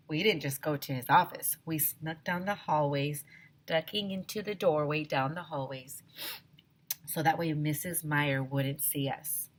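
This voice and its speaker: A woman teacher reading a children's story aloud, expressively, slowing down for emphasis. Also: low voice, fairly bright tone.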